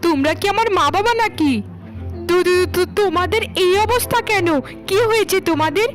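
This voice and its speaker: A woman voicing a haughty young married daughter in a cartoon, shrill and scolding, stammering and obsessed with her standing. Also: a little slow, soft background music. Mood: alarmed, scolding. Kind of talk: Bengali cartoon melodrama acting.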